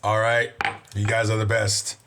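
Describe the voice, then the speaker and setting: A man's voice: fairly low pitch, completely flat delivery, unhurried, sharp tone, slight background noise. A man hosting his own vlog, chatting casually and upbeat with his viewers, rambling and often saying "you know". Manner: upbeat, thankful to his audience. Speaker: vlog host.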